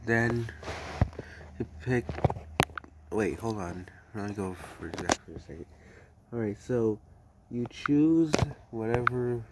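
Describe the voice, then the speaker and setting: Hesitant man over loud background noise. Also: lowish voice, takes it slowly, pauses often. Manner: hesitant, repeats and restarts phrases often. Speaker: tutorial host. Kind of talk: casual screen-recording tutorial.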